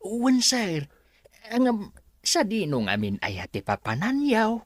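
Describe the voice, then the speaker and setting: An actor with a Filipino accent playing a humble, anxious servant in a radio drama, hesitant and deferential. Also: male voice, sits high, wildly swooping pitch, unhurried, no echo, studio quality, exaggerated voice. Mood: worried, confused. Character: anxious, humble male servant pleading with his boss.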